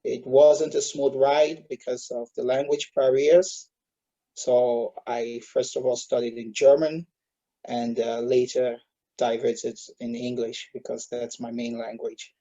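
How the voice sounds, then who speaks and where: Cameroonian guy in his 30s, gravelly voice, muffled call audio, lots of ums. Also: medium pitch, flat delivery, unhurried, warm tone. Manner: hesitant, many filler sounds. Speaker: guest, solar industry expert. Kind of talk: online interview.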